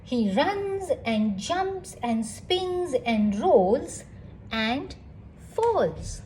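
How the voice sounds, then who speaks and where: A woman reading a picture book aloud to children in an expressive, engaging storytelling style. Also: high-pitched, very slow, slight background noise, rough recording. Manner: animated, addresses child listeners with questions.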